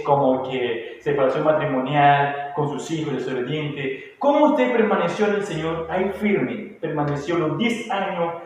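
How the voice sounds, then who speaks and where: A man's voice: a little high, a little mellow. Panamanian male church host, prayerful, rephrases questions; roomy distant recording. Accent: Panamanian Spanish. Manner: prayerful, repeats questions several ways. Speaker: host of a church online show. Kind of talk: church testimony interview. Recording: echoey hall, distant mic, faint noise.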